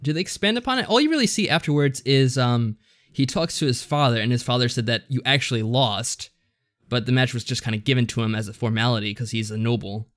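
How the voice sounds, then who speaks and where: A man speaks in a casual, conversational way at a middle pitch. He feels his way through what he is saying, hesitant and loosely rambling, as a podcast co-host does in an informal discussion. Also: quick pace, fairly bright tone. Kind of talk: casual discussion recapping a visual novel.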